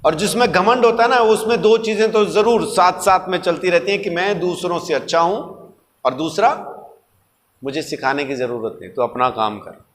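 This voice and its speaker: A male preacher with a notably high voice, recorded with long hall reverb. He delivers a sermon emphatically, posing questions and repeating key words as he teaches. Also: moderate pace, a bit bright, a little hiss or hum. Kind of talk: sermon with rhetorical questions and everyday anecdotes.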